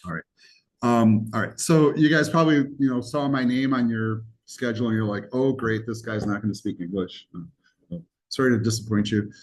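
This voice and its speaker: A man's voice: medium pitch, moderate pace, low-quality recording. A man who grew up speaking Greek teaches a college class in a classroom, talking casually with jokes, asides and frequent ums. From United States. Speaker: college instructor.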